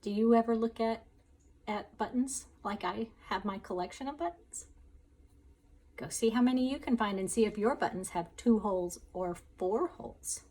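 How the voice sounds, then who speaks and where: A woman's voice: mid-range voice, moderate pace, darkish tone, husky. A woman teacher reading a children's story aloud, expressively, speaking directly to her young listeners.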